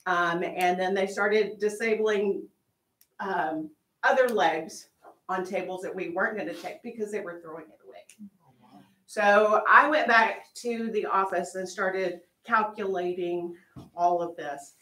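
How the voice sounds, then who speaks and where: A woman's voice: mid-range voice, measured pace, a bit bright, roomy sound, poor audio. A woman presenting informally at a school board meeting, telling a story with fillers and light laughs. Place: meeting room, large indoor.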